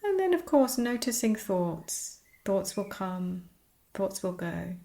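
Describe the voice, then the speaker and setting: Slow-paced woman guiding meditation, creaky voice. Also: medium pitch, big pitch swings, mellow. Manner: soothing, unhurried, pausing between short phrases. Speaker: yoga and meditation teacher. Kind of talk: guided meditation.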